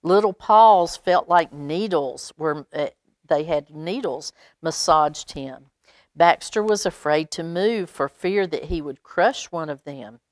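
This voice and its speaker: A woman reads a children's picture book aloud, adding expressive, chatty asides for young listeners. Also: low-pitched, slow pace, dry recording, clean studio sound. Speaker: library storytime reader.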